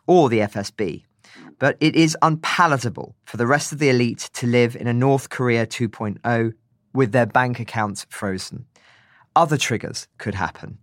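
A man presents news analysis in an articulate, earnest voice, quoting and commenting as he goes. His pitch sits in the middle, and the sound is dry and close, with almost no room in it. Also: fairly bright tone, no room sound. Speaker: podcast host.

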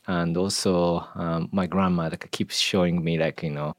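A man from Japan with a Japanese accent, speaking as an interview guest in a halting way, with lots of "like" and "you know". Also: deep voice, little pitch movement, dry recording. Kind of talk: casual interview chat.

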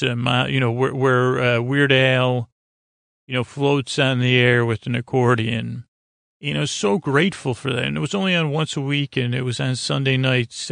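Creaky-voiced man rambling a bedtime monologue. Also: fairly bright tone, no echo, clean studio sound. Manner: meandering, halting, self-interrupting with trailing thoughts; reassuring, low-pressure. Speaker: podcast host.

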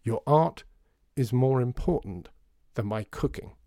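A man's voice: mid-range voice, expressive, a little slow, dark tone, dry recording, clean studio sound. A man voicing a stubborn, scornful male cook in an audiobook, arguing emphatically. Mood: indignant. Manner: argumentative, emphatic. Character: stubborn, shouting, scornful man who cooks, quarrelling. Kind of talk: audiobook storytelling.

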